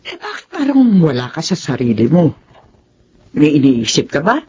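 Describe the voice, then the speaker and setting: A woman's voice: deep voice, very animated, normal speed, mellow, muffled recording, a little hiss or hum. An actress with a Filipino accent playing a watchful, inquisitive, motherly middle-aged woman in a radio drama. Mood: suspicious, concerned.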